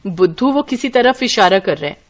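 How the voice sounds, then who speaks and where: A woman's voice: medium pitch, lively intonation, fairly quick, lightly acted. A woman voicing a clever, confident young elder sister from a village in a scripted story. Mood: knowing.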